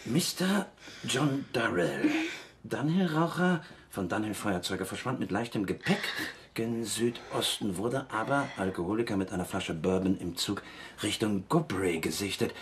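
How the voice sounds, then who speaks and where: An actor playing a pompous, pedantic crime novelist in a German radio play, theatrical in manner. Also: male voice, on the low side, expressive, a little slow, a little hiss or hum. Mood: reading aloud.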